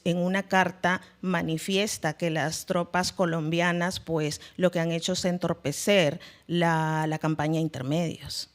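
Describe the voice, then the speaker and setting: A woman, a guest historian explaining her views reflectively in an interview, repeating phrases for emphasis. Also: lowish voice. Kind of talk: informal academic discussion in an interview.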